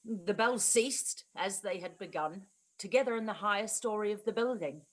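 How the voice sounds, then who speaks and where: A woman's voice: medium pitch, moderate pace, low-quality recording. A woman reads narration for a radio play. Her voice sits in a middle register, telling the story from a script.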